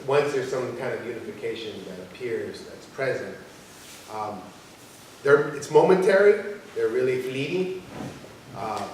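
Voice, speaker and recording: A man explaining in a reflective discussion, halting and repeating phrases for emphasis, recorded in a large room on a distant microphone. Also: medium pitch, expressive, a little mellow. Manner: halting, searching, emphatic repetition. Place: large indoor arts-center room. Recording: very echoey room, distant mic, background noise.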